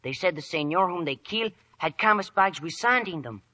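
An actor playing a young, polite Mexican villager with a Mexican accent in a 1940s radio drama. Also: male voice, high voice, lively intonation, fairly quick, sharp tone, full character voice. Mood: polite, helpful. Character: young Mexican villager, the storekeeper's helpful child.

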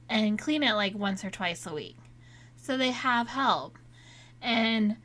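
A woman in a casual solo talk, still getting over a cold, so her voice is a little raspy at times; she speaks in a chatty, candid way. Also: medium pitch, fairly bright tone. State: recovering from a cold, slightly raspy. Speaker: podcast host.

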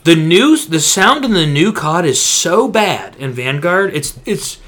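A man with a slightly high voice talks casually as a podcast co-host. His pitch swings widely as he makes his points. He is emphatic and slangy, circling back to repeat himself. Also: big pitch swings, measured pace, fairly bright tone. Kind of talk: casual gaming podcast chat.

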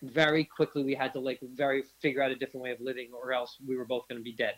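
Man, older, podcast guest, reflective, halting, restarts, mid-pitched. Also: flat delivery, a bit bright, poor audio. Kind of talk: casual interview chat.

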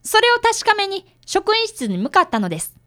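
A woman playing a bright, polite fifth-grade boy apprentice detective in a radio drama. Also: extremely high pitch, huge pitch swings, normal speed, exaggerated voice. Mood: earnest. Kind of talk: radio drama acting with first-person narration.

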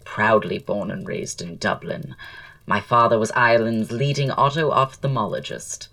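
An actor playing a witty, flirtatious poet and dandy in an audio drama, speaking in florid, arch, self-assured period style. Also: male voice, on the low side, moderate pace, vocal fry, pushed and bright, no room sound, far from the mic. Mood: proud, airy. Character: languid, witty, flirtatious male poet-playwright dandy, proud.

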